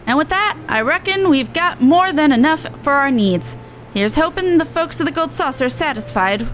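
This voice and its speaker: A woman voicing an earnest, plain-spoken woman merchant from a video game, in a brisk, colloquial manner. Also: high voice, lively intonation, fairly bright tone, faint music behind. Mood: brisk, cheerful. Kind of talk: reading video game dialogue in character.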